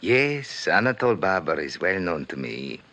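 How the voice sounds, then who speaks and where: An actor playing a proud, cryptic rug merchant, formal and measured, in 1950s radio drama style. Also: male voice, sits low, very animated, sharp tone, full character voice. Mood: courteous, cryptic.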